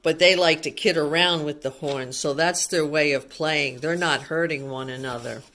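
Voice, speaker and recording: A woman's voice: low voice, fairly even intonation, moderate pace, a bit bright. A woman reading a picture book aloud to young children, asking simple questions and explaining things as a teacher would.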